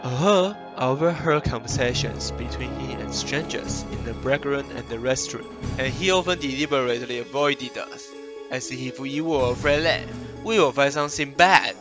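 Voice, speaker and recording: Man playing a suspicious office worker over loud music. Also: medium pitch, normal speed, natural voice. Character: suspicious male office employee sharing secret evidence. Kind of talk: amateur student radio drama acting.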